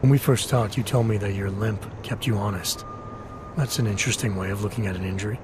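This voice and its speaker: An actor playing a hardened, guarded male cyborg agent in a video game cutscene, speaking in low, clipped lines. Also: steady tone, fast talker, noisy background, lightly acted. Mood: probing.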